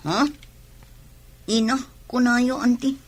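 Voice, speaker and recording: A woman with an Ilocano Filipino accent playing a fretful, irritable young pregnant wife in a radio drama, in a husky voice. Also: fairly high pitch, little pitch movement, slow pace. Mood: guarded.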